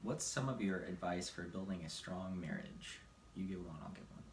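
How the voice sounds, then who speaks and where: A man's voice: low voice, animated, normal speed. A man in his twenties chatting casually and earnestly as he answers viewer questions as a husband. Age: 20s. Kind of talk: casual couple Q&A chat. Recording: echoey room with background noise.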